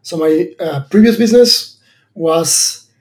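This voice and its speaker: A man's voice: high voice, slow pace, a little mellow. A man in his thirties from Argentina, a startup founder answering interview questions thoughtfully, with many fillers and restarts. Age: 30s.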